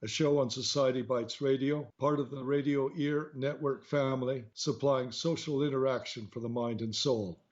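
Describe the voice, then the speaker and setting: A man's voice: mid-range voice, darkish tone, muffled recording. A man hosting a radio talk show, reading a formal introduction of his guest and then asking questions. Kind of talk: hosted radio interview.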